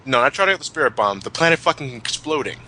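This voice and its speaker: A man's voice: mid-range voice, lively intonation, quick pace, bright, forceful tone, poor audio. A man in a casual fan discussion, ranting emphatically and swearing freely. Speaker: commentary show host.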